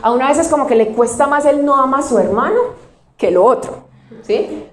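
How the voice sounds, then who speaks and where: A woman's voice: high-pitched, lively intonation, reverberant, slight background noise. Woman pastor with a Cali accent preaching. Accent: Cali Colombian Spanish. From Colombia. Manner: questions the congregation and answers them herself; slows right down to stress single words. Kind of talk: sermon.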